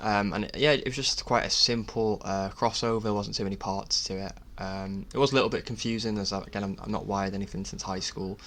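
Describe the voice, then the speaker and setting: A man narrating his own DIY project in a casual voice-over, speaking in a halting, self-effacing way. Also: on the low side, steady tone, fairly bright tone. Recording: clear background noise.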